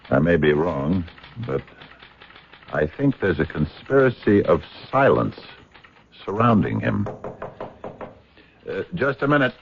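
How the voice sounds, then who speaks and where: An actor playing an earnest, courteous American physicist in a radio mystery, speaking in a hesitant, wordy, stammering way. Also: male voice, deep voice, a little hiss or hum, full character voice. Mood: musing. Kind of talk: radio drama acting. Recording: dull, muffled radio drama recording.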